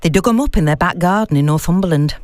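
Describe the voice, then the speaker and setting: Woman doing a calm know-it-all academic lady telling creepy tales in an audiobook, really dry sound. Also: fairly low pitch, quick pace, dry recording, close to an everyday voice. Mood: eerie storytelling. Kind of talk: audiobook dialogue.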